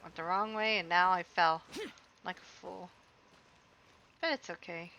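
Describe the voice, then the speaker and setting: A woman's voice: fairly low pitch, fairly even intonation, unhurried, pushed and bright, dull audio. A woman streamer giving casual commentary while she plays, muttering to herself in a playful, rambling way.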